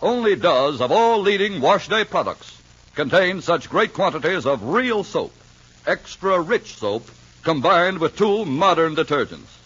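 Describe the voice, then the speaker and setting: Higher-pitched husky male ad announcer. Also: big pitch swings, measured pace, fairly bright tone. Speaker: commercial announcer. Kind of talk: 1950s radio ad reading. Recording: muffled old radio recording.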